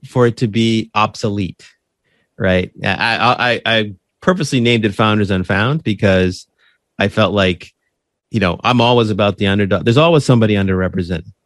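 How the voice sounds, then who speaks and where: Guy on a podcast chatting earnestly, kinda low voice, lots of restarts and "right?", dry sound no room. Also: no room sound. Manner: earnest, conversational, often tags "right?"; frequent restarts and repeated words. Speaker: podcast host interviewed as guest. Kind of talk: casual interview talk.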